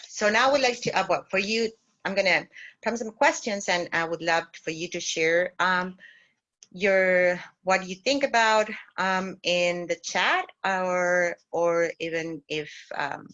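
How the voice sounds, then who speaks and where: Bright-voiced Chilean woman on muffled call. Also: on the low side, slow. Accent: Chilean Spanish. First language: Spanish. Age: middle-aged. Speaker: workshop facilitator, cooperative development consultant. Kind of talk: informal online presentation. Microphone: video call. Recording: muffled, lo-fi video call audio.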